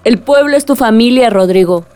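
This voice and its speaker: Actress as a young mexican village woman, grieving and defiant, highish voice, radio soap. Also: expressive, moderate pace, warm tone, clean tone, lightly acted. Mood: firm, indignant. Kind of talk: radio drama acting.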